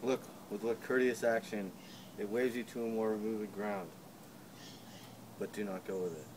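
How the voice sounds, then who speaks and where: A man's voice: on the low side, little pitch movement, moderate pace, darkish tone, lightly acted, poor audio. A man playing a wary soldier guarding a prince in an amateur Shakespeare drama. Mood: wary, firm. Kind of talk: amateur stage reading of Shakespeare. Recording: some background noise.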